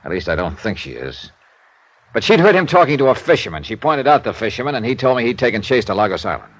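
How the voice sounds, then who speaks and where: A man's voice: medium pitch, big pitch swings, quick pace, no echo. An American man playing a hard-boiled, wry investigator in a vintage radio drama, with a clipped, dry way of talking. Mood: wary, businesslike. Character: hard-boiled, wry American male insurance investigator narrating his case. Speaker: lead actor and narrator. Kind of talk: old-time radio detective acting. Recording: noisy vintage radio recording.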